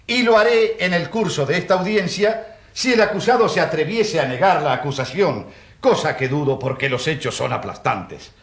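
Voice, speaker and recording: An actor playing an indignant, self-assured prosecuting lawyer in a radio courtroom drama, declamatory and formal. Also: male voice, fairly high pitch, lively intonation, moderate pace. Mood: formal, accusing. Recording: roomy echo.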